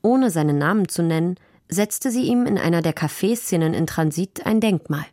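A woman's voice: on the low side, lively intonation, moderate pace, warm tone, no echo, clean studio sound. A woman reading scripted narration for a radio documentary. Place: dry radio studio.

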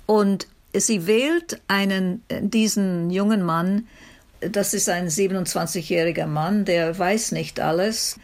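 A woman literary scholar explaining in an interview, halting and searching for words, often repeating phrases. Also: medium pitch, a little mellow.

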